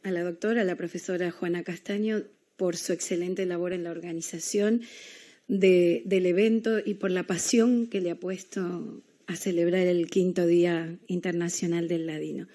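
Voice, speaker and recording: A woman from Argentina with an Argentine accent, giving a university lecture with small pauses and hesitations. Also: on the low side, steady tone, dark tone. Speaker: guest lecturer.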